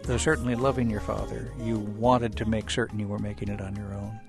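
A man hosting an interview, courteous and measured, who introduces the songs. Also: fairly low pitch, little pitch movement, vocal fry, over music. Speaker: interviewer, host.

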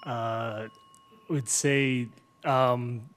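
A man answering quiz questions hesitantly, halting with many fillers. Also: medium pitch, steady tone, noisy background. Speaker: quiz contestant.

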